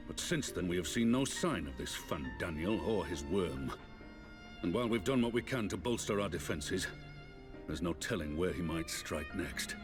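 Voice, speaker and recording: An actor playing a resolute military leader in a fantasy game, speaking formally and with authority. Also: male voice, deep voice, expressive, moderate pace. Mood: concerned. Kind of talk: fantasy video game voice acting. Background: orchestral game music with strings.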